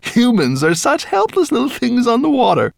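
A man's voice: very high voice, wildly swooping pitch, normal speed, creaky, dry recording, studio quality, voice pushed up, heavily acted. A man voicing a huge, arrogant and cruel dragon in a storybook, bad-tempered and gleefully menacing, growling and snarling. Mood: gleeful, malicious.

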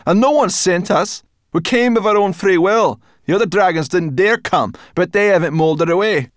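A man voicing a sharp-tongued young female brownie with a loud, high voice in an audiobook. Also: normal speed, no room sound, studio quality, natural voice. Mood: indignant. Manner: loud, snapping.

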